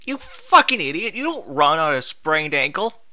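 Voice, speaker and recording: A man narrates a first-person story with a very bright, forceful voice. His pitch moves around in a lively way and his delivery is expressive and informal. The sound is dry and close. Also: moderate pace, no echo. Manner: expressive, informal, sweary interjections. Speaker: fan fiction reader.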